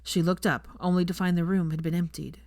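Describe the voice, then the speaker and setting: Low-voiced woman narrating, very dry sound. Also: flat delivery, brisk, darkish tone, no room sound. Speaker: fan fiction narrator. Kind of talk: scripted story narration.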